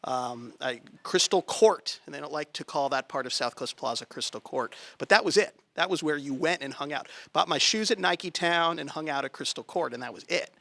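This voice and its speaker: A man's voice: on the high side, normal speed, creaky, hardly any pauses, bright, forceful tone, no room sound. A man from California speaking as a planning commissioner to a local group, in a conversational, plainspoken way with emphatic key points. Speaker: planning commissioner speaking at a political club meeting. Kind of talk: public talk to a local group. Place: meeting room. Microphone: handheld microphone.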